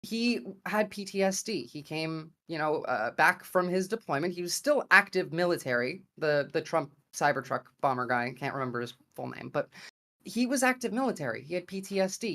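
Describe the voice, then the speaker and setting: A woman with an American accent and a somewhat low voice speaks as a debate guest. She argues in a conversational style, pausing to search for the right word as she builds her point. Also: a bit bright. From grew up in America. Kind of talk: argumentative debate opening statement.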